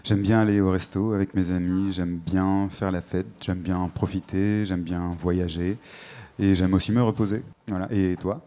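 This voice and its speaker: A young man from northern France chatting casually on a dating show, relaxed, giving short replies and often answering "ok". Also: deep voice, moderate pace. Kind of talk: casual chat.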